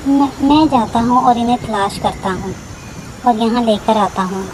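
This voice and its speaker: Woman as little male cartoon animal, high voice, brave, earnest, eager helper, faint insect ambience. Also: moderate pace, noisy background, close to an everyday voice. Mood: brave, determined. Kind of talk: children's cartoon story dialogue.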